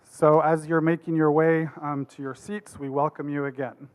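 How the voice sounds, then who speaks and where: A man moderating an academic symposium, introducing the speakers in a relaxed way with frequent pauses. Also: a little high.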